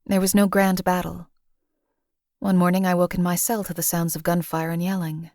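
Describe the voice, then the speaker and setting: Woman voicing a young female game character, former slave turned killer, quiet, flat, matter-of-fact, somewhat low voice, dry close recording. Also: moderate pace, dry recording, close to an everyday voice. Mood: detached.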